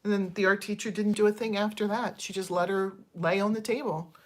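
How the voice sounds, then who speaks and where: A woman's voice: mid-range voice, fairly even intonation, fairly quick. A woman in her fifties chatting casually on a livestream, digressing often and thanking viewers as she airs candid, frustrated complaints with plenty of ums. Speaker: channel host.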